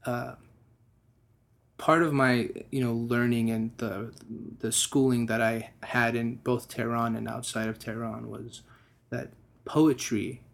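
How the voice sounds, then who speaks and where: A man's voice: mid-range voice, level tone, slow, creaky. A young man from the United States, a guest musician, speaking in a casual interview with a thoughtful manner and frequent restarts.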